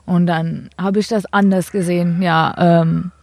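A woman's voice: lowish voice, fairly even intonation, a little slow, vocal fry, darkish tone. A young woman from India with an Indian accent, a cricket player answering interview questions in simple, hesitant German full of fillers.

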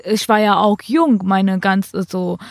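A young woman with an Indian accent speaks in hesitant, halting German, pausing on fillers as she answers. Her voice sits at a middle pitch. It is recorded close and dry, with no room sound. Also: vocal fry, no room sound. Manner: hesitant, simple non-native German with fillers. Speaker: cricket player, guest. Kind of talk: casual interview answers.

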